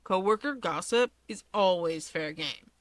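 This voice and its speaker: A woman's voice: on the low side, expressive, measured pace, no room sound. A woman playing a haughty, protective older brother in a story, with blunt, dry delivery.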